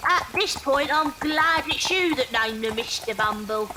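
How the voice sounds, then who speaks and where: Wry tradesman's wife, high and lively, chatty, noisy background. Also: a little slow. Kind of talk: period audio drama acting.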